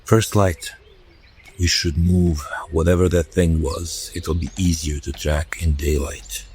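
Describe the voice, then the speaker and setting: A man playing a blunt, brooding young werewolf in a whispered audio drama, talking in slow, hushed tones. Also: very deep voice, fairly even intonation, husky, exaggerated voice. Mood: wry.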